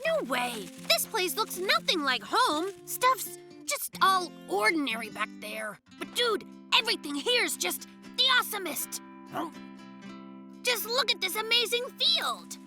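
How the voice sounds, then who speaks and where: An excitable young polar bear cub in a children's cartoon, a boyish and boastful little inventor. The voice is very high and swoops around, with unhurried delivery full of exclamations and slang. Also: heavily acted. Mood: amazed. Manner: exuberant, exclamatory, youthful slang. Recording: children's cartoon music behind.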